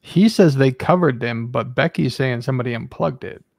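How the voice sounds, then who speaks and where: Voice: medium pitch, normal speed, vocal fry, no room sound, clean studio sound. A speaker from the American South chatting casually. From southern United States. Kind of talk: casual chat.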